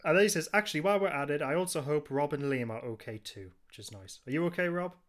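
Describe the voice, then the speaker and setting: A man's voice: on the high side, lively intonation, fast. A man hosting a podcast, chatting casually and reading out messages from listeners.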